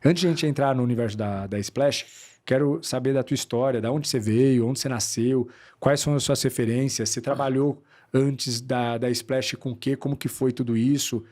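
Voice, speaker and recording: A man's voice: medium pitch, moderate pace, darkish tone. Host guy, chill and hyped, says "cara" constantly. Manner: enthusiastic, affirming, slangy "cara". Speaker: podcast host. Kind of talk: casual interview chat.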